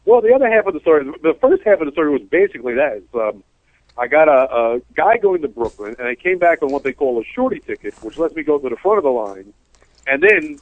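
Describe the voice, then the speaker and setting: A man's voice: fairly high pitch, fast talker, dry recording. A man, co-hosting a casual talk show, ranting in a rambling, worked-up way about his job as a cab driver. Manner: ranting, animated, rambling complaints. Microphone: phone call. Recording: tinny phone line, dry.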